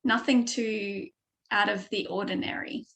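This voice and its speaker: Gravelly Australian woman teaching online. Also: medium pitch, measured pace. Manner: explanatory, steady, with frequent ums. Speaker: IELTS speaking tutor. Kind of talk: online webinar presentation.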